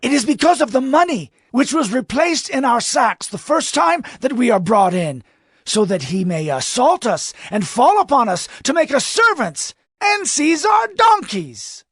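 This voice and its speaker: A man voicing a frightened, panicky brother with a high, agitated delivery. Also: sing-song, a little slow, fairly bright tone, slightly rough, dry recording, studio quality, heavily acted.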